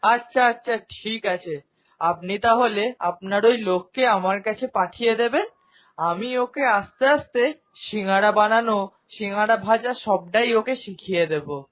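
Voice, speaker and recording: An actor as a slow-talking, weary old shopkeeper. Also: mid-range voice, a bit bright, lightly acted. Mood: agreeable, humble. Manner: folksy, sighing, plaintive village speech. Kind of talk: Bengali cartoon voice acting.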